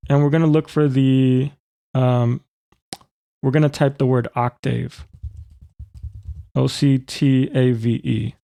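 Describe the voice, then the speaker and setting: Male software tutor, informal and methodical. Relaxed, fry-edged delivery, dry sound. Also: mid-range voice, slow, long pauses, no echo. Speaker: tutorial presenter. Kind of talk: informal step-by-step software demonstration.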